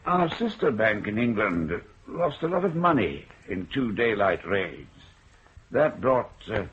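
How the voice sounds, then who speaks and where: An actor playing a smooth, businesslike bank general manager in a radio drama. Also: male voice, lowish voice, sing-song, measured pace, fairly bright tone, slight background noise. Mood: urbane. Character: suave bank general manager, middle-aged man. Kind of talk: 1970s radio drama acting. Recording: dull lo-fi radio play recording.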